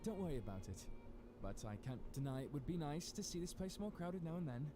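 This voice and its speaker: A man plays a modest young village tailor in a visual novel. His voice is high and animated, friendly, earnest and eager to please. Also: fairly quick, noisy background. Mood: wistful.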